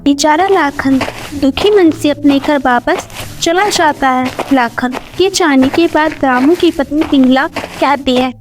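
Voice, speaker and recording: High, slow woman storyteller. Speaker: narrator. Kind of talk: scripted moral story narration. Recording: music under the voice.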